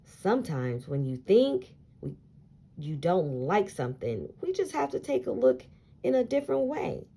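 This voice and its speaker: A woman's voice: lowish voice, very animated, unhurried, creaky, dark tone, breathy edge, exaggerated voice. Woman as a cheerful, emphatic storybook aunt. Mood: wise, reassuring. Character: cheerful, wise aunt offering a treat. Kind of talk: storybook character voice.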